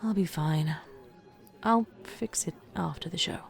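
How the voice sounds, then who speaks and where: A woman's voice: lowish voice, measured pace, gravelly, music in the background. A woman playing a tense, snappish musician pony who hides her distress, clipped, in a fan audio drama. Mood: withdrawn.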